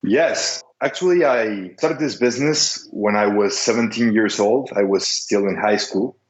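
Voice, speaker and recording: A young man from Mexico speaking English with a Mexican Spanish accent as a podcast guest, careful and halting, recorded on a dull, echoey line. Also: lowish voice. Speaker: guest, business seller.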